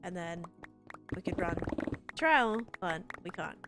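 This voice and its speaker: A woman's voice: fairly low pitch, lively intonation, slow pace. A woman chatting casually with viewers during a gaming live stream, thinking aloud in an informal way as she plays. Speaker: live streamer playing a video game. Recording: music behind the voice. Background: background music.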